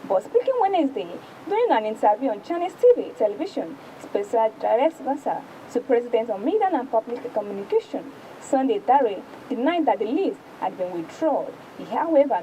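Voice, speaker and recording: A woman's voice: fairly high pitch, big pitch swings, moderate pace, mellow, a little hiss or hum, rough recording. A woman with a Nigerian accent presenting a newspaper review, reading formally aloud with occasional stumbles. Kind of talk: news reading and paper review.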